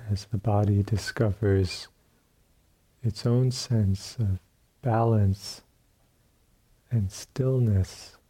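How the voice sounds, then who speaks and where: A man leading a guided meditation as a teacher, speaking calmly in short phrases with long pauses and a soft, husky voice. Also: on the low side, poor audio. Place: meditation retreat room.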